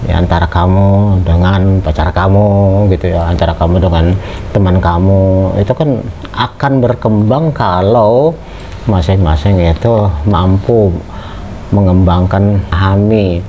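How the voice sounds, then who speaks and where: A man's voice: low-pitched, unhurried. A middle-aged man giving a casual lecture to students, talking conversationally with many hesitant fillers and putting questions to the class. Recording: muffled with steady background noise.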